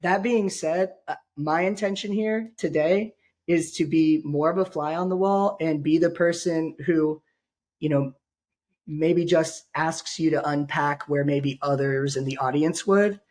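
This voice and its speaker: A man hosting a podcast, hoarse and raspy from being sick, talking in a rambling, joking way with many fillers. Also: a little high, fairly even intonation, a little slow, darkish tone. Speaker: podcast host. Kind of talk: casual podcast chat.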